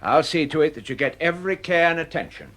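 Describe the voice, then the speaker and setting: An older, vindictive industrialist from an audio drama. His delivery is emphatic and blustering, repeating phrases. The pitch rises and falls dramatically. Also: lively intonation, quick pace, fairly bright tone.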